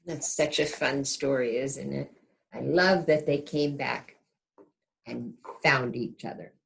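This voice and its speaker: A woman reading a storybook aloud to young children in an expressive teacher's manner, pausing to check in with the listeners. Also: rumbling low, gravelly.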